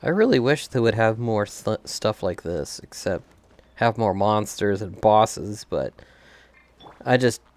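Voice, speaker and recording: A man's voice: fairly low pitch, animated, measured pace, darkish tone, no room sound. A man doing casual gameplay commentary, thinking aloud and chuckling often as he streams a video game.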